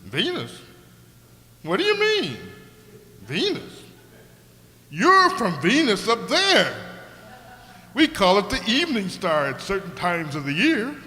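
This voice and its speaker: An actor playing a haughty, chatty talking griffin in a comic radio play, with a pompous, airy, fussy manner. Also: male voice, high voice, huge pitch swings, slow pace, pauses often, bright, forceful tone, heavily acted. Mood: airy, condescending. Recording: echoey hall with long reverb.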